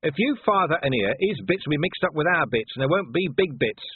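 A man playing a gruff, harried police commander in an audiobook, giving curt and forceful orders. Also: a little high, animated, brisk, no room sound, phone-quality sound, pitched above the performer's own voice. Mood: urgent, commanding.

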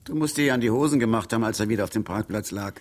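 A man's voice: fairly low pitch, very fast, natural voice. An actor playing a blunt, suspicious man in a radio crime drama, with a brusque, confrontational way of talking. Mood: gruffly probing.

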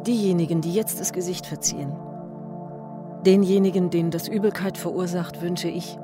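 An actress playing a grave woman in a radio drama, narrating her care of a dying man in a measured, stark, literary way. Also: on the low side, steady tone, close to an everyday voice. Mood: grave, intense. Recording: music behind the voice.

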